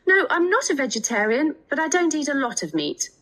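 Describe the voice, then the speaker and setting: A woman's voice: high-pitched, sing-song, a little fast, fairly bright tone. An actress playing a friendly Japanese student in her twenties in a scripted language test dialogue, speaking carefully. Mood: open, chatty. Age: 20s. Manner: careful, spells things out clearly. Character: friendly 28-year-old Japanese woman student seeking a homestay.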